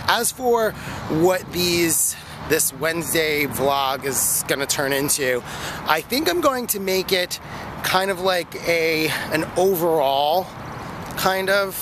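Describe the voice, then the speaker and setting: A man in his thirties talks casually into a phone held at arm's length as he walks outdoors, his voice sitting a little high for a man. He rambles along with fillers and easy laughs. Loud street and traffic noise fills the background. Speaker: vlogger. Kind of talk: casual walking vlog. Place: outdoor park path near a street.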